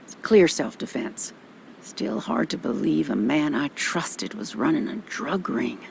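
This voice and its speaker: A woman's voice: low voice, big pitch swings, measured pace, creaky, a little hiss or hum, heavily acted. An actress playing a motherly older small-town policewoman in a video game, folksy and reassuring.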